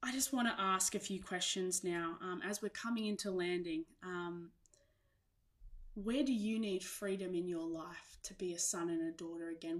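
A woman preacher speaks to her congregation with a mid-pitched voice. Her delivery is earnest and reflective, moving between personal sharing and gentle exhortation in an informal sermon style. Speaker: church preacher.

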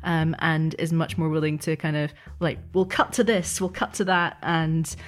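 A woman's voice: deep voice, steady tone, moderate pace. A woman answering as a podcast guest and game master in a conversational, thoughtful, explanatory way.

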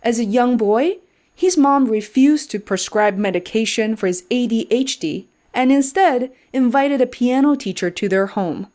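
A woman's voice: on the high side, lively intonation, normal speed, darkish tone. A woman podcast host who reads a scripted introduction and then chats with her guest in an encouraging way.

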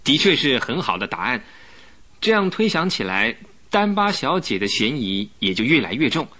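A man's voice: mid-range voice, big pitch swings, moderate pace, sharp tone. A man acting an earnest police inspector in a radio drama, explaining politely with some hesitation. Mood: approving.

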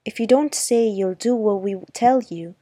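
A woman's voice: medium pitch, normal speed, warm tone, husky, no echo, studio quality, close to an everyday voice. A woman voicing a bossy young boy, speaking in a warning tone.

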